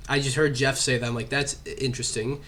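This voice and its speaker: Bright, rambling young male podcast host with a slight cough, casual chat, lots of "uh" and "like". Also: mid-range voice, little pitch movement, a little fast. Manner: rambling, many fillers. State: has a cough.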